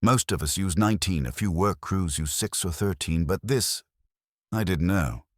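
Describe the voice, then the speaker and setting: A man's voice: sits low, moderate pace, dry recording, clean studio sound. A man narrating a scripted first-person horror story in a dramatic, suspenseful manner.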